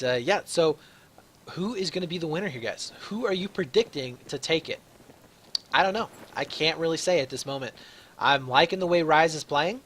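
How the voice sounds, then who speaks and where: Young male shoutcaster, slightly raspy, voice sits a bit high, loose filler-heavy delivery over a faint background hum. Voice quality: slightly raspy from a long day. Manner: chatty, fills with "uh", grows excited on big plays. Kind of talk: solo esports play-by-play commentary.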